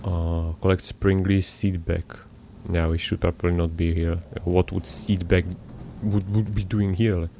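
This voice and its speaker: A man's voice: low-pitched, flat delivery, a little slow, mellow, a little hiss or hum. A young man, not a native English speaker, rambling through casual gameplay commentary with many fillers and restarts. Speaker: gaming YouTuber.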